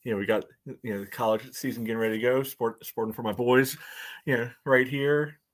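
A man's voice: medium pitch, fairly even intonation, brisk. A man co-hosting a sports fan podcast, chatting casually in a wry, frank, hesitant way. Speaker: co-host of a soccer fan podcast.

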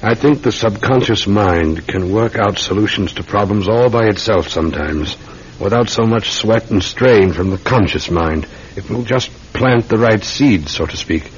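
An actor playing a polite, methodical London police detective with a British accent, deferential and musing in an old-time radio drama. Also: male voice, fairly low pitch, normal speed, slight background noise, lightly acted. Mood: earnest, theorising. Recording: dull, muffled classic radio recording.

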